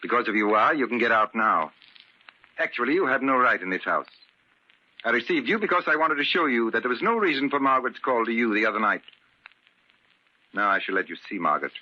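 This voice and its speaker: A man's voice: mid-range voice, animated, fairly quick, pauses often, pushed and bright, dull audio. An actor playing a cruel, domineering older father-in-law with formal, condescending politeness in a vintage radio drama. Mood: icily polite. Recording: crackly band-limited old radio recording.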